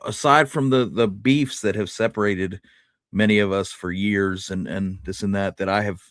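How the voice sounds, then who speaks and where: A man's voice: on the low side, steady tone, normal speed, a bit bright, no room sound, clean studio sound. A man speaking casually as a podcast co-host, with an easygoing manner.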